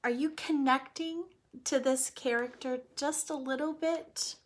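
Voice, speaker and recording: A woman with a slightly husky voice leading a read-aloud lesson for children, explaining things simply in an expressive, coaxing way and speaking to them directly. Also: high-pitched, a little slow. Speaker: teacher reading aloud to children.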